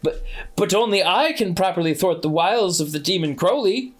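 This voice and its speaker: A man's voice: sits high, expressive, normal speed, voice pushed up. A man voicing a prim, flustered male angel bookseller who stammers and dithers.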